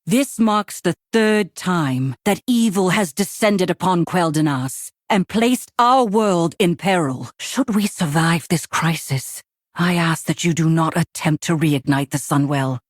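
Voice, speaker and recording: An actress playing a stern, regal night elf ruler in a video game, stately and authoritative, with a husky voice. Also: female voice, fairly low pitch, a little slow, no echo, clean studio sound. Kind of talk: dramatic video game voice acting.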